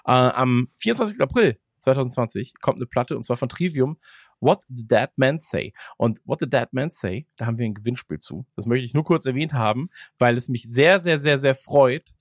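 A man hosts in a loose, conversational way, with a mid-pitched voice. He rambles from thought to thought and slips in ironic jokes. The sound is dry, with very little room in it. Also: lively intonation, moderate pace, dry recording. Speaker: podcast host. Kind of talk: casual chat.

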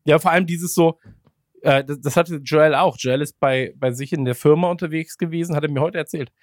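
A man's voice: on the high side, steady tone, brisk, dry recording. Rambling podcast man, dry sound. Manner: rambling, many fillers, laughs while talking. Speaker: podcast co-host. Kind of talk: casual podcast chat.

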